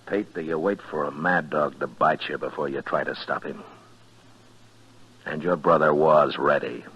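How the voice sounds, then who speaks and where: An actor playing a stern, weary middle-aged American marshal with a husky voice and blunt, deliberate delivery in a 1950s radio western. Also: male voice, slight background noise. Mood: exasperated. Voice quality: husky, deep. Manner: blunt, deliberate, hard-edged. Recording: dull vintage radio recording.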